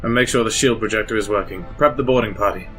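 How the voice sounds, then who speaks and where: A man's voice: on the low side, quick pace, fairly bright tone, natural voice. A man playing an anxious, dutiful Imperial lieutenant, formal and military, in a sci-fi audio drama. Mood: formal command. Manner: formal, military, slightly nervous. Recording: music behind the voice.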